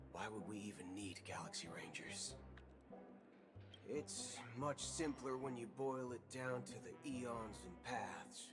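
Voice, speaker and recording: An actor playing a shrewd, talkative space ranger in a video game, with a folksy, wry, conversational manner. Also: male voice, lowish voice. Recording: loud music behind.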